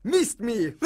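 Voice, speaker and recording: Smug young vampire villain, slow, sharp-edged, theatrical, taunting, cartoon game. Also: male voice, very high-pitched, no echo, studio quality, heavily acted. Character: smug, gloating boy vampire villain leader. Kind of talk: animated cartoon video game acting.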